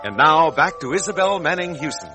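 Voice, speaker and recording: A man's voice: a little high, animated, a little fast, music in the background. A man announcing and reading sponsor copy for children in 1940s radio style. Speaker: announcer and pitchman.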